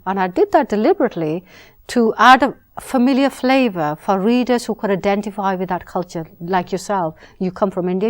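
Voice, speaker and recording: Woman, novelist being interviewed, measured and reflective, mid-range voice. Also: mid-range voice. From Britain. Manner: measured, reflective, with frequent restarts. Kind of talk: thoughtful interview answers.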